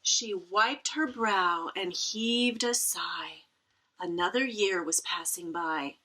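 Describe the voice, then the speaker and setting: A woman reading a picture book aloud to children in an expressive style, with dramatic emphasis and playful asides. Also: fairly low pitch, slow pace, poor audio.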